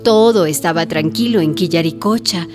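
A woman's voice: fairly low pitch, moderate pace, over loud music. A woman reading scripted storytelling narration for a radio drama.

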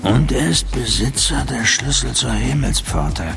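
Grave occult expert, very creaky voice, slow solemn delivery, radio play, music behind. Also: male voice, mid-range voice, expressive. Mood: solemn, instructive. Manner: solemn, deliberate, instructive. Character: grave male expert on Afro-Cuban occult religion. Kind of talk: radio drama dialogue. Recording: music under the voice.

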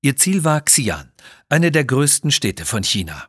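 Husky male storyteller, dry studio sound. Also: medium pitch, big pitch swings, a little slow, a bit bright, no echo. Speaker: narrator of a children's audio story. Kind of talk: scripted storytelling narration.

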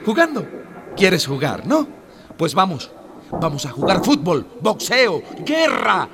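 A man's voice: high-pitched, big pitch swings, slow, noisy background, full character voice. An actor playing a stern, angry father in a radio drama, harsh and commanding. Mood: enraged. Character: stern, homophobic, angry father of a young child.

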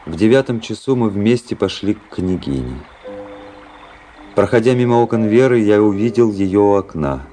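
An actor playing a cool, world-weary young aristocratic officer in a Russian radio drama, dry, polished and ironic. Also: male voice, lowish voice, expressive, moderate pace, warm tone, faint music behind, lightly acted. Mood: reflective narration. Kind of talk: Russian literary radio drama acting and narration.

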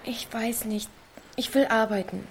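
A woman's voice: on the high side, steady tone, a little slow, a little hiss or hum. A woman playing a sullen, scheming teenage village girl with a curt, snide manner in a radio drama. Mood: sullen, stubborn. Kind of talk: naturalistic radio drama dialogue.

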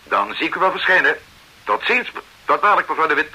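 A hemming, fussy consultant. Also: male voice, fairly high pitch, big pitch swings, fast talker, noisy background. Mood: curious. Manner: hesitant, stammering, questioning. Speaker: adviser running an advice bureau. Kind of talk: radio play acting.

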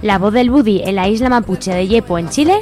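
A woman's voice: medium pitch, brisk, warm tone. A woman with an accent from Spain co-hosting a live community radio show from a studio. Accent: Spanish from Spain. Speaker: radio co-host. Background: music playing behind.

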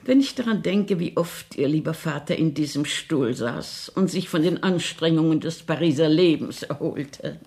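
Radio drama casting: chatty elderly grandmother, deep for a woman, very animated, dramatic about manners. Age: older. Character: doting, chatty old Parisian grandmother with strict propriety. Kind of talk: 1970s German radio play acting.

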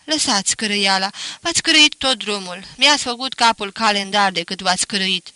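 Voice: mid-range voice, pushed and bright, no room sound. A reader voicing a weary young peasant sister who pleads for the quarreling to stop in a storybook reading. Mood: exasperated. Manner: exasperated pleading.

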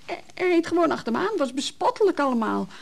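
A woman's voice: high voice, sing-song, fast, creaky, exaggerated voice. An actress playing a hurt, disillusioned woman in a radio play, nervy and brooding, speaking in hesitant, broken phrases. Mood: agitated, indignant. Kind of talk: naturalistic Dutch radio drama dialogue.

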